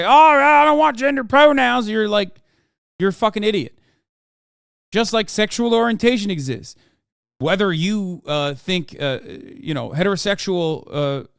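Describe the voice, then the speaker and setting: A man in his thirties, a native Turkish speaker, rants bluntly and profanely as he reacts on stream. His voice sits a touch high and sounds smooth and clean. The close mic leaves it bone dry. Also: a bit bright, no room sound. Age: 30s. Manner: blunt, profane, exasperated ranting. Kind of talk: casual profane reaction commentary.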